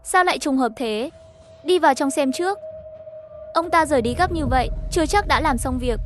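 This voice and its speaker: A woman's voice: high-pitched, animated, moderate pace, a little hiss or hum. A woman reading a scripted story narration, voicing the dialogue lines herself as the narrator. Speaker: narrator of an animated horror story recap.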